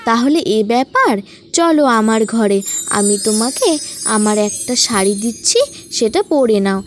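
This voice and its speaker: A woman playing a kind elder sister-in-law in a cartoon, gentle, reassuring and encouraging. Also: a little high, animated, unhurried, faint music behind. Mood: comforting.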